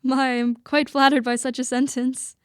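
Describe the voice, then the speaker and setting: A young woman playing a gentle, devoted young bride in a radio play, speaking sweetly and formally. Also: sits high, little pitch movement, moderate pace, clean tone, no room sound, clean studio sound, natural voice. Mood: reassuring, fond. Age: young adult. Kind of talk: student radio play acting. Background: music underscoring.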